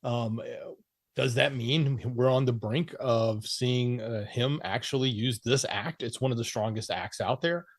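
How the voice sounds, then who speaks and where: A man's voice: medium pitch, a little slow, no room sound, studio quality. A man co-hosting a news show, reporting and analyzing in an informal, explanatory, conversational way.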